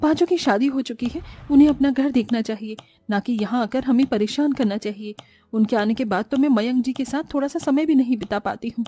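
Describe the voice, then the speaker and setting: A woman's voice: fairly high pitch, brisk, dark tone, lightly acted. A woman voicing an overworked, long-suffering young housewife in a cartoon moral story, plaintive and dutiful. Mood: weary, complaining.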